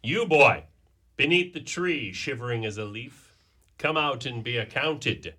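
A man plays a commanding lord and father with a bright, forceful voice. He is pompous and sardonic, his pitch swinging widely, in a comic radio play. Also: animated, measured pace, slightly rough, full character voice. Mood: commanding, amused. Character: wry, commanding nobleman father of the bride. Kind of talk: comic Shakespearean radio drama.

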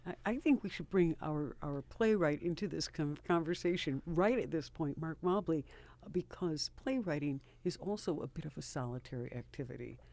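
A man from Rochester hosting a radio interview, asking curious, halting questions. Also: on the high side, expressive, creaky, a little mellow, a little hiss or hum. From Rochester, New York. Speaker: radio host. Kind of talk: interviewing guests.

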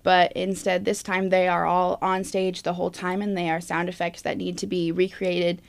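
A woman's voice: on the low side, little pitch movement, normal speed, creaky. A young woman, a stage manager, talking casually in an interview about her work.

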